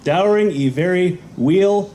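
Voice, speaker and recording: A man haltingly reading a story aloud as a reader on a live convention panel. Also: high voice, warm tone, a little hiss or hum.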